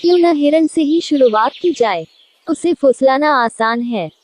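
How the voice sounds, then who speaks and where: A woman's voice: high-pitched, expressive, a little slow, slight background noise. A woman voicing a cunning, treacherous female fox with a calculating manner in a storybook tale.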